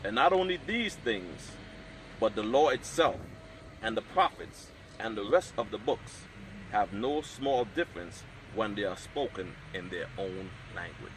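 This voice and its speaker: A man teaching a Hebrew class, explaining in a measured way and reading scripture aloud. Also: on the low side, animated, a bit bright, slight background noise, rough recording. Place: outdoor training camp.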